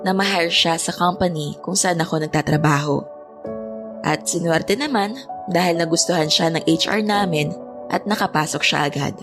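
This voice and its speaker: A woman's voice: fairly low pitch, close to an everyday voice. A woman with a Filipino accent acting a reflective young woman who narrates her own story in an audio drama.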